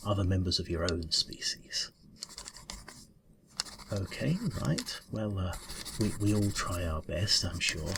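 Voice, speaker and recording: A very low, creaky male cat bureaucrat played by a man mumbles through an ASMR roleplay interview. He is hesitant and politely officious, stammering and stalling like a slightly flustered official. Clear noise sits behind the voice. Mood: awkward, polite.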